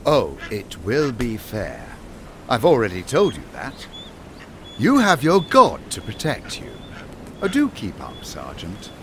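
Urbane island lord, played by a man, radio-play villain, unhurried and highly animated, politely taunting. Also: slight background noise, heavily acted. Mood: mocking, measured. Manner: grandiose, polite, drawn-out taunting delivery. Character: urbane menacing island lord, calmly mocking cult leader. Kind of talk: audio drama acting.

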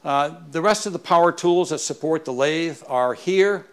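A man giving an informal, explanatory tour of his small garage workshop as a woodturner, haltingly repeating words as he explains. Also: fairly high pitch, clear, resonant tone.

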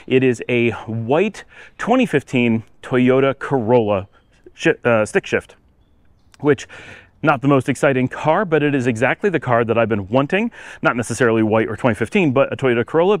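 A man with a medium-pitched voice narrates a travel vlog as he walks outside. He talks casually and rambles, thinking aloud as he goes. The sound is dry, with no echo. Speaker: travel vlogger. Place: outdoors, walking on residential city streets.

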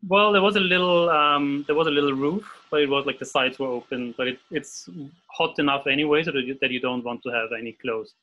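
A man's voice: mid-range voice, fairly quick, pushed and bright. A man in his forties from Austria with an Austrian German accent, talking as a guest in a casual interview over a video call, hesitant, with many fillers and restarts. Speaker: guest illustrator. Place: home room at a desk. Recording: dull video call audio.

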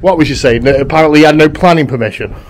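A man's voice: on the high side, very fast. A man, chatting casually to camera while exploring a derelict house and saying "guys" a lot. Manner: chatty, laughs often, keeps saying "guys". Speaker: urban explorer vlogger. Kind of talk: casual vlog narration. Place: outdoors and inside a derelict brick house. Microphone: handheld camera held close. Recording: noisy outdoor ambience.

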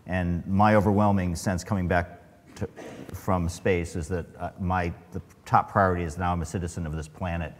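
A man with a very low voice, thinking aloud as an astronaut panelist. He restarts his sentences often and gropes for words. His voice echoes in a large hall with a long reverb. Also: little pitch movement, moderate pace, a little hiss or hum. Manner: reflective, many fillers and restarts. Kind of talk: panel talk. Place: large event hall, on stage.

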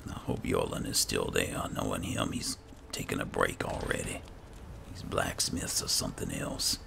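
A man's voice: a bass voice, steady tone, normal speed, creaky, noisy background. A man giving casual roleplay commentary over a video game, musing and thinking aloud. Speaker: gaming video host.

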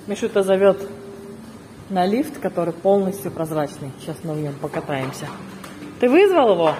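Middle aged woman vlogging on her phone, lowish voice, gushing, lots of noise. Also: expressive, moderate pace. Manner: effusive, exclaiming. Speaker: family vlogger filming and narrating. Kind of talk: casual vlog narration to viewers. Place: resort grounds, corridors and poolside. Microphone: handheld phone camera. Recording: noisy handheld travel vlog recording.

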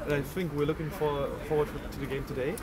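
A man's voice: on the high side, steady tone, over a noisy crowd. Young German man, joking outdoors. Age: young adult. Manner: friendly, joking, halting English. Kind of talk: casual interview answers. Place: outdoors in a town park.

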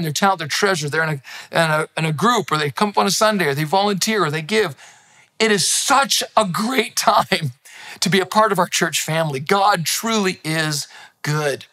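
A man in his fifties, a pastor grown up in Thailand, giving an earnest, encouraging sermon from a living room. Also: high-pitched. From grew up in Thailand, now in Canada. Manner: earnest, encouraging, animated hand gestures. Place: living room with Christmas decor, indoors. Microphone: close camera, seated.

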